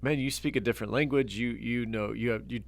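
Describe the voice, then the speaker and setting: A man's voice: medium pitch, quick pace, creaky. Rambling middle-aged male podcast host. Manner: rambling, restarts often, animated when making a point. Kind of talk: casual chat.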